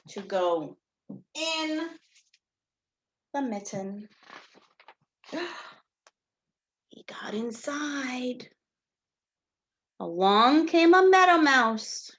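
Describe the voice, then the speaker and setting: Teacher lady reading a kids storybook, high voice, really slow, expressive, asks the kids questions. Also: highs cut off. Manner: expressive, addresses children as friends, asks questions, gasps for effect. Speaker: teacher reading aloud to young children. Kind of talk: children's picture-book read-aloud with asides to the listeners.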